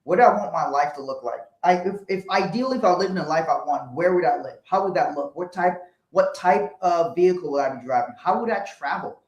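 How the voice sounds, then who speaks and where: A man's voice: fairly high pitch, lively intonation, a little fast. A man from Liberia co-hosting a casual advice chat, joking and rambling with frequent laughs and restarts. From Liberia, West Africa. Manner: jokey, rambling, laughs often, many restarts. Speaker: co-host. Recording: roomy echo.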